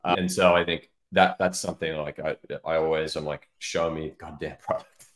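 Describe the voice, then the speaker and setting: Male interview guest, very low voice, explanatory and opinionated, casual delivery, recorded in an echoey room. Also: level tone, fairly quick. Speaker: interview guest, head of product marketing. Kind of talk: casual expert interview talk. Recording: roomy, echoing sound.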